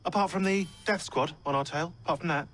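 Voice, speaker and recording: An actor playing a weary, sardonic British assassin in natural game dialogue, with a British accent. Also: male voice, sits high, fast, fairly bright tone. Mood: weary, reflective. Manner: sardonic, dry. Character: weary, wry male modern-day British assassin.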